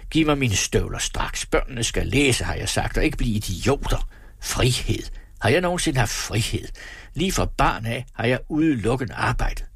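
A man voicing a miserly, pompous, quick-tempered husband in a storybook reading, with theatrical, self-pitying complaints. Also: medium pitch, lively intonation, vocal fry, breathy edge, no room sound, clean studio sound. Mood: angry, self-pitying. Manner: theatrical, exclamatory, grandiose complaining.

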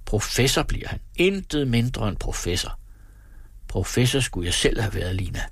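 A man's voice: medium pitch, big pitch swings, breathy edge, dry recording. A man voicing a pompous, self-pitying husband and father in a story, ranting indignantly. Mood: pompous outrage.

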